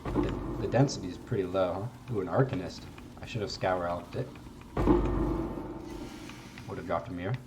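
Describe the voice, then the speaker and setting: A young man with a congested voice, giving relaxed, rambling gameplay commentary and swearing casually. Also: sits low, moderate pace, pauses often, heavy background noise. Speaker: game streamer.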